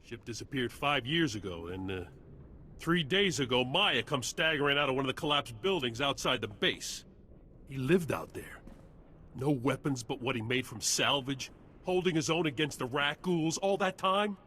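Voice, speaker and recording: A man's voice: medium pitch, animated, normal speed, sharp tone, a little hiss or hum. An actor playing a hearty, earnest military officer in a video game, folksy and loyal to his soldiers.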